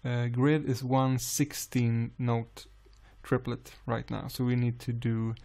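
A man with a Swedish accent calmly explaining music software in a screen-recorded tutorial, pausing often with "uh". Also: mid-range voice, creaky. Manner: calm, unhurried explaining with frequent "uh" pauses.

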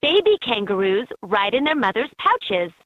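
A woman's voice: on the high side, sing-song, normal speed, dry recording. A woman reading scripted narration as the guide in a children's learning game, recorded as lo-fi toy audio. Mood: instructive.

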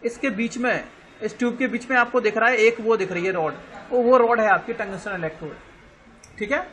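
Indian man teaching an online class, high bright voice, patient, spells terms out letter by letter. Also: poor audio. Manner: explains slowly, spells words out letter by letter, checks understanding often.

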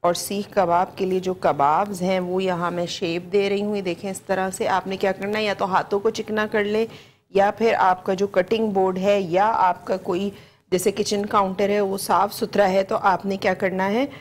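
Woman, 40s, Pakistani Urdu accent, studio cooking show, lapel mic, step-by-step instruction, mid pitch. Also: flat delivery, normal speed, slight background noise. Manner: patient step-by-step instruction, repeats each ingredient. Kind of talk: live TV cooking demonstration. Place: TV studio kitchen set.

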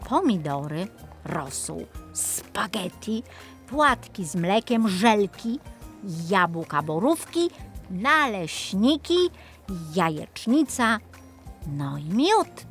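A woman narrating a children's story expressively as the storyteller. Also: fairly low pitch.

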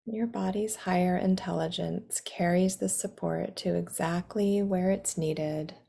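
A woman guides a meditation at a very slow, unhurried pace. Her voice is low and mellow, with soothing instructions that sometimes soften into breathy near-whispers. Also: fairly even intonation.

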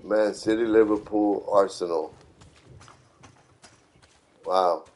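A middle-aged man giving casual, unhurried live game commentary, repeating himself as he explains. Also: on the low side, steady tone, a little mellow. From New York City, United States. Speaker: video game streamer.

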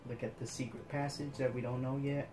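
A woman's voice: rumbling low, flat delivery, a little fast, noisy background. A woman hosting a let's play, musing casually over the game and laughing often. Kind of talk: casual gameplay commentary. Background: game music.

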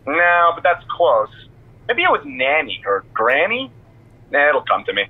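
Cocky, goofy, husky podcaster. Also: male voice, a little high, huge pitch swings, measured pace, no echo, narrow, tinny audio, full character voice. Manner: boastful, silly, rambling. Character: cocky, goofy wrestler podcast co-host man. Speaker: podcast co-host. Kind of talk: comic podcast banter in a video game. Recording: close, dry radio-like phone sound.

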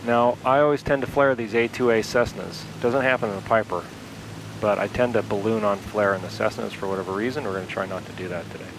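A man with a fairly low voice narrates his home-cockpit flight in a relaxed, step-by-step way. He speaks into a headset mic. A steady engine drone sits clearly under his voice. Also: fast talker, background noise. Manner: relaxed, talking through each step aloud. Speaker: flight sim video host. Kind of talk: casual instructional narration while flying. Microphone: aviation headset.

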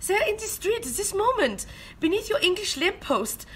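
A woman's voice: extremely high pitch, big pitch swings, normal speed. A woman playing a grave, melodramatic foreign secret agent who slips into German exclamations in an amateur radio play. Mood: grave, insistent. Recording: homemade recording with noticeable noise.

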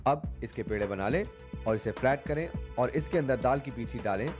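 A man narrates a recipe voice-over in a steady, measured reading. His pitch is ordinary for a man, and clear music plays underneath throughout.

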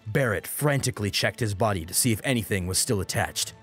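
A man's voice: lowish voice, animated, a little fast, breathy edge, faint music behind. A man narrating an action story as an audiobook, in a dramatic scripted style.

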